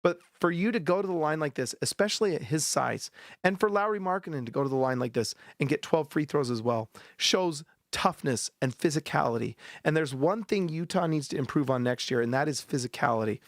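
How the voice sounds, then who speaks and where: A man hosting a post-game basketball show, ranting bluntly and heatedly with a sore, hoarse throat. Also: fairly high pitch, expressive, brisk, no room sound, clean studio sound. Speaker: sports podcast host.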